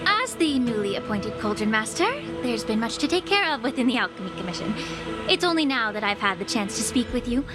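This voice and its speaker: A poised healer official in a fantasy video game. Her voice sits a little high, polite and composed with shrewd formality, over clearly audible music. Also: big pitch swings, fairly quick. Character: poised, courteous, shrewd newly appointed female healer official. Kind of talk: scripted video game dialogue. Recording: game audio with music behind.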